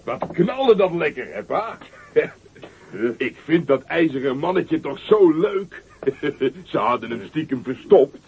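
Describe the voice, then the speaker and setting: A giggling, stammering young giant who brags like a proud little boy. His voice sits high and gravelly and leaps around as he talks. It comes through a dull, lo-fi old radio recording. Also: expressive, slow pace, a little hiss or hum. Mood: gleeful boasting. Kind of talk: radio drama acting.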